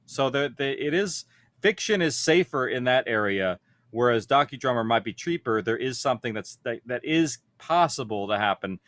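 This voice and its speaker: A man's voice: mid-range voice, animated, normal speed, fairly bright tone, dry recording. A man speaking informally on a panel, explaining practical details of production in a conversational way. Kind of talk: conference panel talk.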